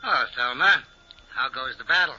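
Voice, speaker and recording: An actor playing a pushy, shady schemer with a breezy, slangy manner, in 1950s radio crime drama. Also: male voice, medium pitch, lively intonation, moderate pace, noisy background, lightly acted. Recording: muffled old-time radio recording.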